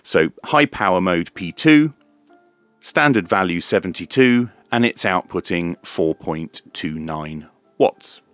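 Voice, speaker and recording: A man's voice: low-pitched, a bit bright, no echo. A man presenting a step-by-step technical demonstration in a methodical, matter-of-fact way. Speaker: presenter of a radio tutorial.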